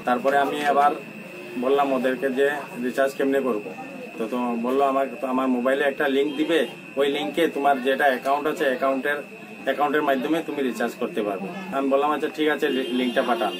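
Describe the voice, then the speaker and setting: Halting man, distant mic, noisy surroundings. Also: medium pitch, steady tone. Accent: Bengali of Assam's Barak Valley. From Barak Valley, Assam. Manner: halting, repetitive, mixes in English words. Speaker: interviewee recounting an incident. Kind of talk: informal spoken account to a reporter.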